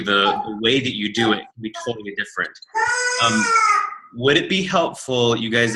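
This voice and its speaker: Loud, very bright-voiced team leader. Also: male voice, on the low side, rough recording. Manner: big, loud voice. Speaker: team leader presenting a training. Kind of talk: casual workshop talk.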